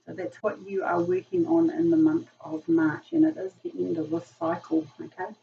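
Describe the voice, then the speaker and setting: A woman with a croaky voice from a virus, chatting casually through a tarot reading, full of ums. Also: on the low side, sing-song, normal speed. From New Zealand. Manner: chatty, filler-heavy, frequent ums. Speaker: tarot reader. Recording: distant lo-fi room mic, faint noise.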